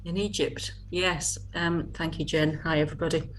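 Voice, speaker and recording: Low-voiced Scottish woman, halting. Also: fairly even intonation, crowd noise, poor audio. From Scotland. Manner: halting, many fillers and restarts. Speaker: guest. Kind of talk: casual video-call interview.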